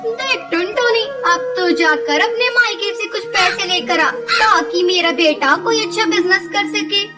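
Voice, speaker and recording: Shrill-high greedy mother-in-law bird acted by a woman. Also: unhurried. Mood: demanding. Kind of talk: children's cartoon story dialogue. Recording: loud music under the voice.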